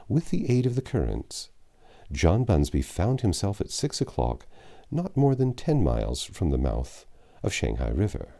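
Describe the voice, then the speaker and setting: A man reading a classic adventure novel aloud as a storybook narrator, with a measured, dramatic storytelling delivery. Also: sits low, creaky, dark tone. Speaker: audiobook narrator.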